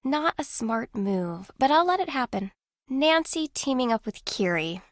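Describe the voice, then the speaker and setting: A guarded competitive woman in a video game, terse, slightly high voice, really dry audio. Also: big pitch swings, unhurried, no room sound. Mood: cool, guarded.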